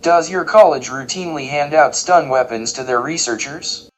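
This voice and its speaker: Man as a deadpan, formal sci-fi aide. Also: fairly high pitch, moderate pace, muffled recording, close to an everyday voice. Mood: blunt. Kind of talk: sci-fi comedy audio drama acting.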